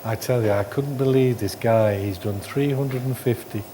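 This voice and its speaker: A man's voice: mid-range voice, moderate pace, noisy background. A middle-aged man teaching endoscopy hands-on, giving short blunt instructions and dismissive asides. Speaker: endoscopy trainer. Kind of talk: hands-on teaching, coaching a trainee. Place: hospital endoscopy training room.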